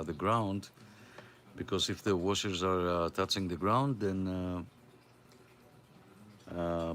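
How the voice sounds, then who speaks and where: A middle-aged man with a Greek accent and a fairly low voice, chatting on air as the host of a CB radio gathering. He speaks in a friendly, rambling way and pauses often on fillers. A faint hiss of noise sits under his voice. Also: fairly bright tone. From Greece. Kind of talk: casual on-air radio chat.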